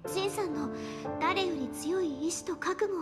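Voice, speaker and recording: A young female android from a game cutscene. The voice is extremely high, gentle and polite, full of earnest care. Prominent music plays behind it. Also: moderate pace. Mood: gentle, encouraging. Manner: soft-hearted, politely earnest. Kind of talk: Japanese game cutscene acting.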